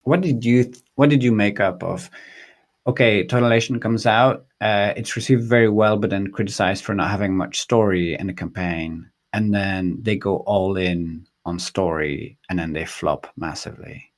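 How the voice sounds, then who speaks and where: A man hosting an interview in a casual, conversational way, haltingly thinking aloud. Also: lowish voice. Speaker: podcast host, interviewer.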